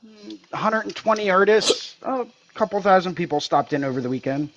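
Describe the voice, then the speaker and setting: A man, a guest tattoo artist, talking about a convention in a relaxed, joking way on a video call. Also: sits high, normal speed. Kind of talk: casual chat. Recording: dull, lo-fi call audio.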